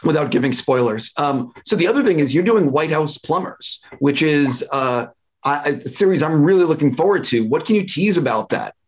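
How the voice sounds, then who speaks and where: A man's voice: a little high, expressive, normal speed, breathy edge. A man in his forties conducting a casual interview over a video call from a room at home. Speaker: entertainment interviewer. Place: small room at home, indoors.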